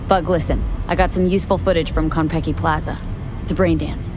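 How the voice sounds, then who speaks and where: Woman voicing a tough merc, blunt, lowish voice, noisy background. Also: fairly even intonation, brisk, lightly acted. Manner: blunt, direct. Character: tough female mercenary. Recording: loud background noise.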